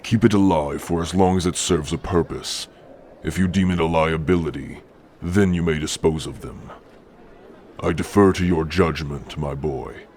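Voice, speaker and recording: A man's voice: extremely low pitch, moderate pace, darkish tone, a little hiss or hum. A man voicing an older orc clan chief in an ASMR roleplay, grave and imperious, with solemn, formal speech.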